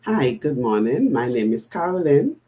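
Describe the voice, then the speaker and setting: Woman on a video call, formal intro, pitch swings all over. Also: big pitch swings, moderate pace, warm tone. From US Virgin Islands. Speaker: guest business partner. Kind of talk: self-introduction on a livestream.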